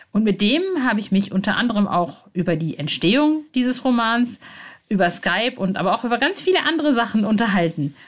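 A woman hosting a podcast, interviewing in a casual, chatty and enthusiastic way. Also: a little high. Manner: enthusiastic, informal.

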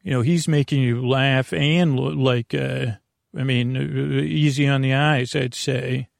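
A man's voice: mid-range voice, steady tone, unhurried, dry recording, clean studio sound. A man hosting a bedtime podcast in a meandering, hesitant, self-deprecating monologue that keeps drifting off on digressions. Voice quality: creaky, gravelly. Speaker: podcast host. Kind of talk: rambling bedtime monologue to listeners.

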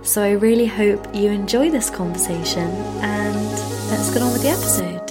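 A woman's voice: mid-range voice, normal speed, loud music behind the voice. A woman hosting a fitness podcast, chatty and friendly, sounding a bit stuffy from a cold. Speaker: podcast host. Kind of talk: casual interview chat.

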